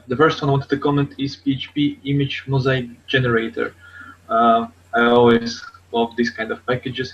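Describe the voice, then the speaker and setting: A man's voice: mid-range voice, a bit bright. A man co-hosting a casual tech podcast over an online call, talking in loose, repetitive phrases. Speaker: podcast co-host. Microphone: online video hangout. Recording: dull lo-fi online call, faint noise.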